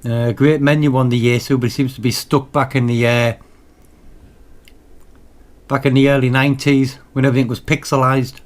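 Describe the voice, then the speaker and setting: A man talking off the cuff as a radio hobbyist reviewing his gear, in a halting, repetitive, enthusiastic way. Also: mid-range voice, level tone. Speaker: radio hobbyist presenting own channel. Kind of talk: casual off-the-cuff equipment review.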